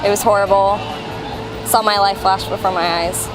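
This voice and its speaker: A woman's voice: mid-range voice, steady tone, normal speed, over music, low-quality recording. A woman giving a blunt, joking casual recap of an event.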